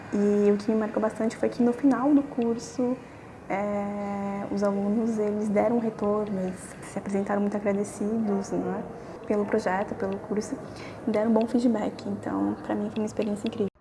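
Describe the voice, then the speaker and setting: Female student teacher, earnest and hesitant, clear background noise. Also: medium pitch. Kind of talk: testimonial.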